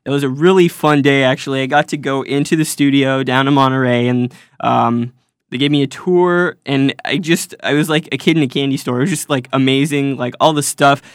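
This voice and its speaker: A man's voice: medium pitch, steady tone, fairly quick, clear, resonant tone, no room sound, studio quality. A man hosting, wrapping up an interview in a chatty, enthusiastic way with many fillers. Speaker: host. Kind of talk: casual recorded wrap-up narration.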